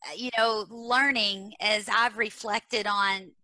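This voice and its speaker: Woman with a Texas drawl, bright and forceful, inspirational interview guest. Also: mid-range voice, fairly even intonation, takes it slowly, no echo, rough recording. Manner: earnest, motivational, repeats key phrases. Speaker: guest speaker on leadership. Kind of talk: conversational interview.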